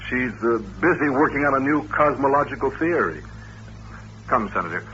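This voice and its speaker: An actor playing a courteous, patient doctor who runs an institution, explaining things politely and with measure in an old-time radio drama. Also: male voice, medium pitch, animated, normal speed, pushed and bright. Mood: earnest, explanatory. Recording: dull old radio recording, faint hiss.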